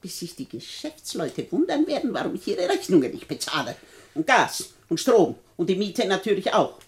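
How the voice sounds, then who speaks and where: Actress as elderly rich widow, animated intonation, commanding, sharp-tongued, vintage radio play acting. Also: female voice, medium pitch, normal speed, close to an everyday voice. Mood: amused defiance. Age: older. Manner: imperious, commanding, sardonic.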